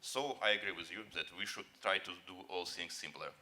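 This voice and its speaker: A man's voice: sits low, fairly quick, bright, forceful tone. A man on a conference panel whose first language is Russian, speaking earnestly and encouragingly in slightly broken English. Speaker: panelist, company founder. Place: conference hall stage.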